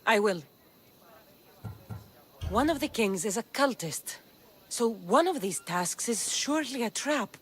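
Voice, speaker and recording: A woman's voice: fairly high pitch, animated, slow pace, pauses often, a little hiss or hum. An actress playing a blunt, determined Spartan mercenary woman in a video game cutscene. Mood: resolute, questioning.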